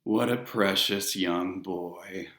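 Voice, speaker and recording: A man's voice: low-pitched, fairly even intonation, fairly bright tone, reverberant. Man doing a silky, sinister ferryman of the dead. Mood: syrupy. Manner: slow, silky and condescending, with a foreign lilt. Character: suave cold elegant male ferryman of the dead.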